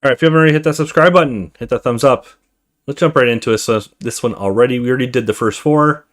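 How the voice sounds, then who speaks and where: A man's voice: medium pitch, fast talker. A man hosting a let's play, reading visual novel text aloud with casual commentary.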